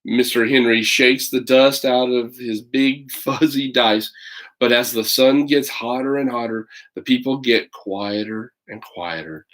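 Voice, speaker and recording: A man's voice: mid-range voice, measured pace, fairly bright tone. Chatty man reading a storybook aloud. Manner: chatty, folksy asides to listeners. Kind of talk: children's picture book read-aloud with casual asides.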